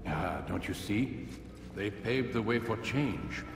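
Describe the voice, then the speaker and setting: Grave old master, echoing hall. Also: male voice, fairly low pitch, expressive, slow pace, far from the mic, background noise. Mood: grave, probing. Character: aged male assassin master, grave, commanding, paternal mentor. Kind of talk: video game cutscene dialogue.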